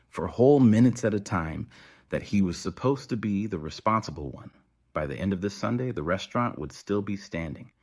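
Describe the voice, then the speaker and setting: A man's voice: lowish voice, a little mellow. A man narrating a scripted audio story.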